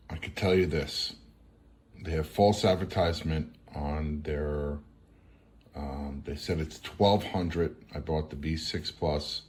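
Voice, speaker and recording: A man giving a casual, unscripted product critique in a blunt, halting way. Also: rumbling low, darkish tone. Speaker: product reviewer.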